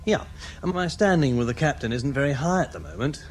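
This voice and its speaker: An actor playing a scheming, wheedling spaceship officer with a smooth-talking, conniving manner in a radio science fiction drama. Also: male voice, on the high side, big pitch swings, fairly quick, a little hiss or hum.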